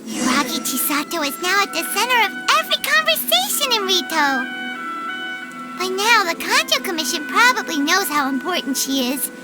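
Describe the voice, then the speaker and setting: A woman's voice: extremely high pitch, sing-song, normal speed, over loud music, low-quality recording. A woman voicing a small, chirpy, outspoken fairy companion in an anime-style video game. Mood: chatty, curious.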